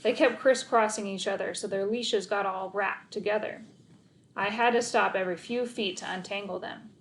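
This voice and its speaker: A woman narrating a children's story aloud in an expressive read-aloud style. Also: medium pitch, normal speed.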